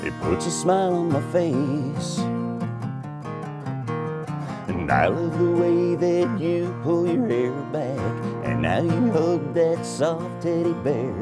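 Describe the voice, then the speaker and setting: A man singing a slow country love song in long, drawn-out lines, backed by guitar. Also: on the high side, very animated. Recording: sung over loud guitar music.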